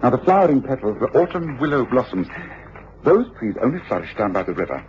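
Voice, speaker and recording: A man acting a commanding Victorian English detective in a radio play. His delivery is precise and clipped, with lively swings of pitch. The old recording is dull, with a faint layer of noise. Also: quick pace, natural voice. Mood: urgent, reasoning. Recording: muffled, noisy old radio drama recording.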